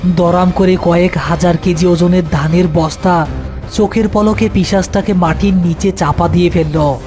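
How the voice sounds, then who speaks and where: A male narrator tells a scripted horror story. His voice is high for a man, with faint music running behind it. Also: steady tone, normal speed, a little mellow.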